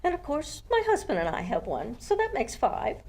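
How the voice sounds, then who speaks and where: A woman's voice: high voice, huge pitch swings, normal speed, darkish tone, a little hiss or hum, full character voice. A woman acting a flustered, aloof gallery owner in an old-time radio mystery. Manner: fluttery, confused, haughty. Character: flustered, aloof wife who owns an art gallery. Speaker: amateur radio club performer.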